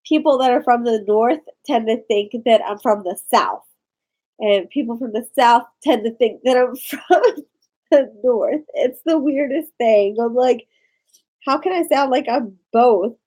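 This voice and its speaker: A woman's voice: on the high side, measured pace, darkish tone. A woman from Maryland with a Maryland accent, chatting in a rambling way with viewers on a live stream. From Maryland, USA. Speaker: vlogger. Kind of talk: casual live-stream chat.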